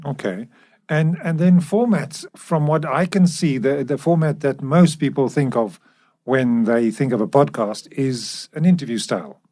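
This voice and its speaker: A man's voice: mid-range voice, a little slow, mellow. A man co-hosting a podcast on a studio microphone, chatting informally and putting questions conversationally. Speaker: podcast co-host. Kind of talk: casual co-hosted podcast discussion. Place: professional recording studio.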